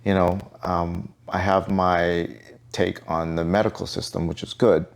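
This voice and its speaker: A man's voice: low-pitched, little pitch movement, a little slow, gravelly. A middle-aged man from Vancouver, a podcast guest telling his life story in an easygoing, rambling way. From Vancouver, Canada. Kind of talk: casual interview chat.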